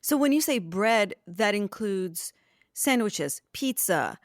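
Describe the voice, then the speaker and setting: A woman talks casually in an interview, thinking aloud as she answers. Her voice sits at an average pitch, and the sound is dry with no room echo. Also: very animated, slow. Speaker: podcast cast or crew member.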